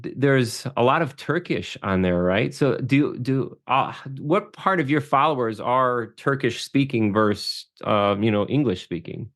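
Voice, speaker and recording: A man's voice: medium pitch, lively intonation, a little slow, few pauses, fairly bright tone. A man hosting a conversational podcast interview, reflective and often restarting his phrases as he thinks. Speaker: podcast host.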